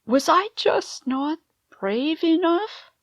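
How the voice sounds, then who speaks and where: A woman playing an anxious, wistful middle-aged mother in a radio play, speaking hesitantly with brooding pauses. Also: very high voice, big pitch swings, dry recording, clean studio sound, full character voice. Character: anxious, wistful mother isolated in a threatened world.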